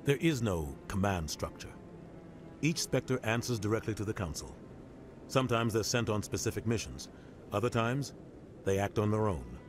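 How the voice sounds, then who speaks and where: An actor voicing a seasoned, pragmatic military officer in a video game, explaining with measured authority. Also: male voice, on the low side, expressive, music in the background, lightly acted. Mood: grave, measured. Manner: matter-of-fact, authoritative explaining.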